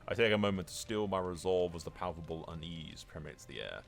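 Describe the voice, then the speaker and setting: Man reading as a solemn, low-voiced young fantasy king. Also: a little fast, gravelly. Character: solemn newly crowned medieval fantasy king. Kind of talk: scripted fantasy narration read aloud.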